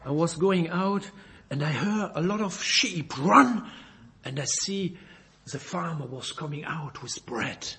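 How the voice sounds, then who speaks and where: A man from Belgium, a native French speaker with a Belgian French accent, preaching and reading scripture in halting English, searching for words and correcting himself. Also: sits high, creaky, sharp tone, husky. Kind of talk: informal Bible sermon with scripture reading.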